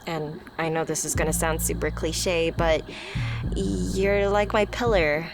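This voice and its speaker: Slow, hesitant shy young woman, acted, low-pitched. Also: steady tone, gravelly. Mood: vulnerable, affectionate. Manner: hesitant, halting, soft-hearted confiding. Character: shy, anxious young woman confiding in close friend. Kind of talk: ASMR roleplay audio drama. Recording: music behind the voice. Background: music.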